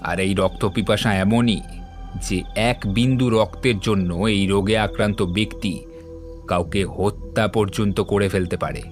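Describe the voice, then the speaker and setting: A shrewd detective, played by a man, speaks in a low voice with measured assurance. He lays out his reasoning like an audio-drama monologue, composed and deliberate, with soft music underneath. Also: steady tone, natural voice. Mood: grave, explaining. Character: composed, shrewd male detective explaining a case. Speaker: voice actor in an audio story.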